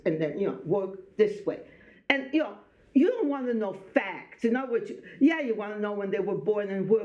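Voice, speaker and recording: A woman's voice: mid-range voice, darkish tone. A woman in her late sixties giving a personal life-story talk to students, conversational and digressive, speaking directly to her listeners. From born in Belgium. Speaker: guest speaker, Holocaust survivor telling life story to students. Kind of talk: personal testimony talk to a school audience. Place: echoey room, live talk to a group. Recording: echoey room, dull muffled sound.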